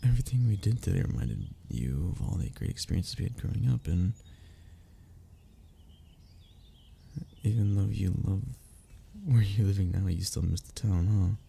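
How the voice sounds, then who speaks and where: A young man acting an affectionate, playful best friend in an intimate audio roleplay, soft-spoken and gently teasing, with a gravelly, husky voice. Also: deep voice, lively intonation, brisk, heavily acted. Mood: gentle, wistful. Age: young adult.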